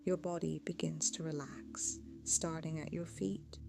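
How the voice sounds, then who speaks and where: A woman narrating a guided meditation in a soothing, measured, hypnotic way, with phrases trailing off into a hush. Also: sits low, flat delivery, gravelly. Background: music.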